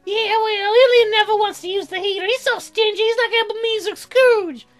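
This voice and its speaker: Very high-pitched, whiny, dim-witted young woman for a comic game, childish slangy grammar. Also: a little fast, soft background music. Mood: whiny complaining. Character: whiny, dim, food-obsessed young female colonist neighbor. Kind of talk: game character voiced in a let's play.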